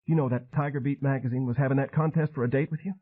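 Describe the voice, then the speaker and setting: A man voicing an unstable madwoman with a high, trembling voice, fragile and fervent. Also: steady tone, fast talker, phone-quality sound, close to an everyday voice. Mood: excited, rambling.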